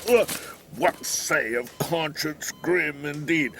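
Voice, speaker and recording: An actor playing a grumpy, sardonic old American bookshop owner complaining from a hospital bed in an audio drama. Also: male voice, fairly high pitch, slow, gravelly, a bit bright, a little hiss or hum, full character voice. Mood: crotchety, sardonic. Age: older.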